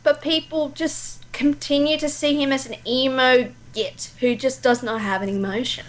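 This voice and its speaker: A woman's voice: high-pitched, fairly even intonation, moderate pace, poor audio. A woman speaking as a guest in a casual chat, giving her opinions emphatically like a devoted fan. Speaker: guest voice actor.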